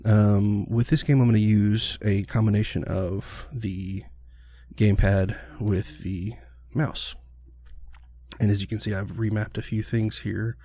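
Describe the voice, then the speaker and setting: A man hosting a casual gameplay video, talking in a rambling, relaxed way with lots of fillers while getting over an illness. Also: on the low side, unhurried, dry recording. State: recovering from illness, sniffly, clearing his throat. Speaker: gaming channel host. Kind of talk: casual let's-play commentary.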